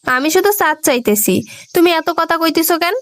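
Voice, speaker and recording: A woman's voice: extremely high pitch, lively intonation, fairly quick. A woman with a Bangladeshi accent playing a stubborn, sulky, nagging young village wife in a comic cartoon. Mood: sulky, bossy. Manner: nagging, insistent.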